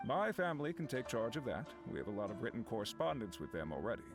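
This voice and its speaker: A helpful clan retainer from a video game, acted by a man. He is polite and obliging, with a lively, mid-pitched formal delivery over faint music. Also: fast, close to an everyday voice.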